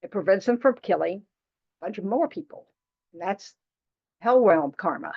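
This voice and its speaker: A woman's voice: medium pitch, expressive, normal speed, gravelly, slightly rough. A woman teaches a small class in an easy, talkative way, frank and teasing, leaning on "you know" as she goes. Her voice sits in a middle range. The recording is muffled and lo-fi. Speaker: Buddhist teacher. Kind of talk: casual dharma teaching to a class.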